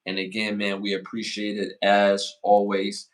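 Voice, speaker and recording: A man in his forties hosting a casual podcast, giving motivational advice and shout-outs, repeating phrases for emphasis. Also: fairly low pitch, completely flat delivery. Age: 40s. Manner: hypes the chat with rapid shout-outs. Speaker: podcast host.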